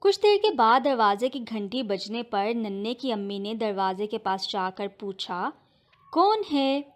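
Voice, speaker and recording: A woman's voice: mid-range voice, a little slow. A woman narrating a scripted moral story for children as a storyteller.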